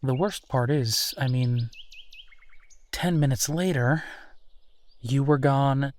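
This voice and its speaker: Slow-talking, self-deprecating werewolf boyfriend played by a man. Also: mid-range voice, a little hiss or hum, natural voice. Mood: explaining. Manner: hesitant, self-deprecating, sighing, soft chuckles. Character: embarrassed, gentle male werewolf boyfriend confiding. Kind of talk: intimate roleplay audio drama.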